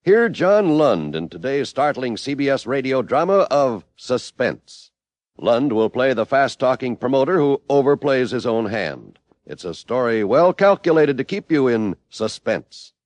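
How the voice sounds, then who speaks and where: A man's voice: mid-range voice, no room sound. A man with an American accent announcing in the dramatic promotional style of 1950s radio.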